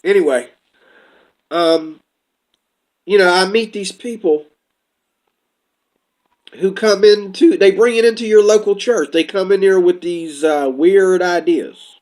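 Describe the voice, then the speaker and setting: A man's voice: high voice, takes it slowly, long pauses, darkish tone. A man giving a casual, rambling commentary monologue, talking from notes and drifting off topic, on faith and identity. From United States. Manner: rambling, talks from notes, puts on quoted voices.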